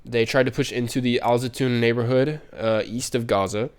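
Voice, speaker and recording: A man's voice: mid-range voice, steady tone, moderate pace, smooth. A man with a stuffy, nasal voice from a cold, commenting on the news on a casual stream, rambling with many ums and restarts.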